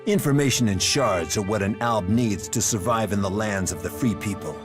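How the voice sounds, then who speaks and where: A man's voice: lowish voice, lightly acted. Man playing a coldly formal renegade soldier. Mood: measured menace. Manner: formal, measured, stilted. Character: cold, logical renegade male soldier, formal and menacing. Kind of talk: video game dialogue acting. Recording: music under the voice.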